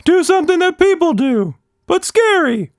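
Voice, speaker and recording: Squeaky bellowing showman monster. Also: very animated, moderate pace, dry recording, clean studio sound. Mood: urgent, bossy. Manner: theatrical, bellowing, sing-song. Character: boisterous magical blob monster, bellowing showman.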